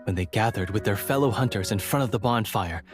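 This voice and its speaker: An actor playing an earnest, theatrical and repentant monster hunter in a fantasy video game, with a solemn, formal manner. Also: male voice, lowish voice, fairly quick, over music, natural voice.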